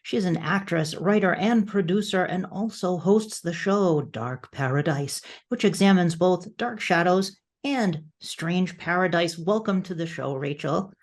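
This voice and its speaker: Low-pitched woman hosting a podcast. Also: measured pace, hardly any pauses. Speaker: podcast host. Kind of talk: casual interview chat.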